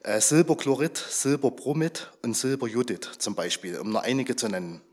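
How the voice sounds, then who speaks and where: A slightly hoarse man who is under the weather gives a chatty, informal talk to a gathered congregation and keeps asking them questions. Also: mid-range voice, normal speed, a little mellow. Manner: chatty, asks the audience questions.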